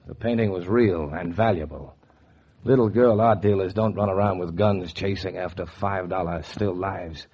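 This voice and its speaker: An actor playing a hard-boiled, greedy, excitable man in a 1940s radio noir drama. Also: sits low, moderate pace, breathy edge. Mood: tense narration. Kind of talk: 1940s radio noir acting and first-person narration. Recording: muffled, noisy vintage 1940s radio recording.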